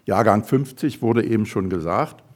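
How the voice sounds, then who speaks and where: A man's voice: on the low side, normal speed, a little mellow. Older Berlin man giving a hesitant talk. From Berlin, Germany. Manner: thoughtful, hesitant with many fillers and restarts. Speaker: invited speaker. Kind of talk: lecture talk.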